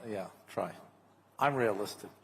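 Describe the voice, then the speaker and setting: A man's voice: lowish voice, measured pace. Man moderating in an echoing hall. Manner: casual, filler-heavy questions. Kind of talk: onstage interview. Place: conference hall stage. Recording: reverberant conference hall.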